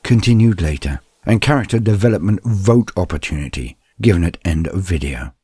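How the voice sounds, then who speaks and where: A man narrating a scripted story and guide in a theatrical, solemn storyteller's manner, with a husky voice. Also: deep voice, lively intonation, a little fast, mellow, dry recording. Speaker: narrator and channel host.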